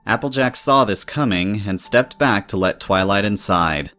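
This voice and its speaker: A man narrates a scripted story. His voice is on the low side, and music runs softly behind him as he tells it. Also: normal speed.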